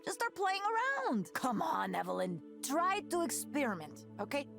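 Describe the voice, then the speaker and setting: A breezy young musician in a visual novel game. Her voice is high and very animated, playful and encouraging, with music clearly playing behind her. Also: normal speed, full character voice. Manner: breezy, laughing, teasing.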